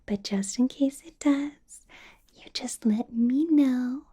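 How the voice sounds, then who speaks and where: A woman playing a possessive, doting female vampire boss in a whispered ASMR roleplay, coaxing softly with a sweetly sinister edge. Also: high voice, exaggerated voice. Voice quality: breathy, whispered. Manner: slow, coaxing, soft giggly delivery.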